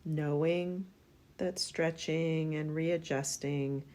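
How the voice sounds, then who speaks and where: A woman guiding a relaxation meditation in a soothing, unhurried manner, leaving long pauses between phrases. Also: low voice, fairly even intonation, darkish tone. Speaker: yoga nidra meditation guide.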